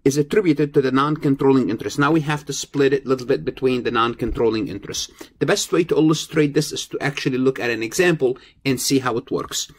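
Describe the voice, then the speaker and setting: Husky-voiced man teaching accounting. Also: mid-range voice. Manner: explanatory, repeats phrases for emphasis. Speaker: accounting lecturer. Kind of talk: instructional screencast lecture.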